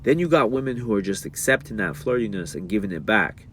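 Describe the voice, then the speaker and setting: Animated young man, slangy monologue. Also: lowish voice, fairly quick, a little hiss or hum. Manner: animated, rises sharply when worked up; reads aloud and comments in slangy asides. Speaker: streamer talking about religion and relationships. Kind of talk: casual monologue.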